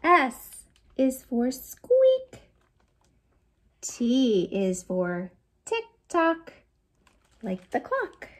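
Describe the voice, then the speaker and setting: An American woman in her forties hosting a read-aloud storytime for young children, in an animated, sing-song way with playful sound effects. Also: sits high, drawn-out words, long pauses. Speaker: children's librarian, storytime host. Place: small lamp-lit room at home.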